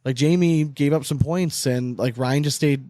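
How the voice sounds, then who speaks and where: A man's voice: a little high, moderate pace, dry recording, studio quality. A man co-hosting a podcast, chatting casually about sports with strong opinions and frequent swearing. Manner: animated, opinionated, swears often. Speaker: podcast co-host.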